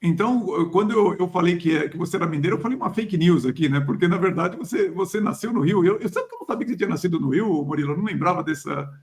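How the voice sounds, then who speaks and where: Chatty Brazilian-Portuguese-speaking male podcast host with a gravelly voice. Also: sits high, expressive, very fast, hardly any pauses. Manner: chatty, full of restarts and affirmations. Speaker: podcast host and career coach. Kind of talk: conversational interview.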